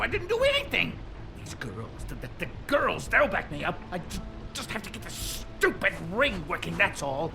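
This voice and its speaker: An actor playing a desperate, cowardly official in a video game, stammering and pleading to justify himself. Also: male voice, high-pitched, vocal fry, exaggerated voice.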